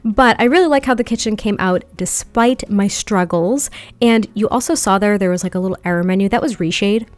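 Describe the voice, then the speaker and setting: A woman's voice: medium pitch, fairly quick. A woman giving casual, chatty voice-over commentary on a game build, a little nasal from a lingering head cold, with a close mic at her desk at home. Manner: chatty, rambling, with small laughs. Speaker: video game build creator. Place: home setup at a standing desk.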